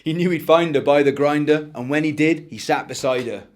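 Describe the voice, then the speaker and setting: A man reading a rhyming children's story as its narrator, in an expressive, rhythmic way. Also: on the high side, fairly quick. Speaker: author reading his story.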